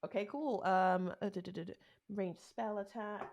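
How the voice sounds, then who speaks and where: A woman's voice: medium pitch, steady tone, normal speed, a little mellow. A woman casually playing a tabletop game, searching for words as she describes her character's actions. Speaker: player.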